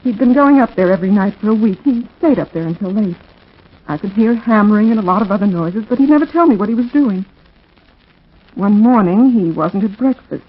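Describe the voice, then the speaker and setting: A wistful, frank young widow speaks at a middling pitch. Her delivery is earnest and deliberate, in the manner of 1940s radio drama. The recording is muffled and old. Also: animated, breathy edge.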